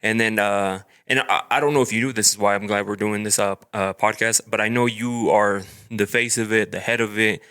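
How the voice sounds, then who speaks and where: A man with a low voice and a bright, forceful edge hosts a casual podcast chat. He rambles, restarts his sentences and leans on fillers as he keeps the conversation friendly. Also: steady tone, fairly quick, hardly any pauses, smooth. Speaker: podcast host.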